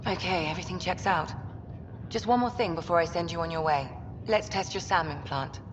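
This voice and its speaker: Casting: female actor as composed game doctor, reassuring and measured, slightly low, muffled with noisy ambience. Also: in a large hall, close to an everyday voice. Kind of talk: sci-fi video game acting.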